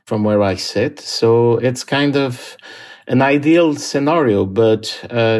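A man from Syria and Lebanon, an aid worker, speaking calmly and thoughtfully from a quiet home office, pausing often with "uh". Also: lowish voice. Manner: calm, thoughtful, with frequent "uh" pauses. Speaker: aid worker telling his story. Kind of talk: reflective monologue.

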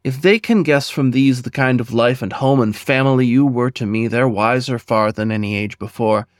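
A man reciting his own poem in an earnest, measured, dramatic way, with deliberate pauses between phrases. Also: medium pitch, no room sound, studio quality. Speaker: poet and podcast host reading own poem.

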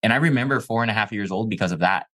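A young man from Mexico whose first language is Chinese, telling his life story as a podcast guest in a relaxed, slangy way. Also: fairly low pitch, rapid-fire. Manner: laid-back, says "bro" and "like" often. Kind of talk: casual interview storytelling.

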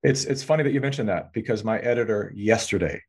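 Lowish-voiced guy rambling earnestly in an interview, lots of restarts. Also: lively intonation, quick pace, husky. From California. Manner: earnest, long-winded, restarts words often. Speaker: filmmaker guest. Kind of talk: political interview talk.

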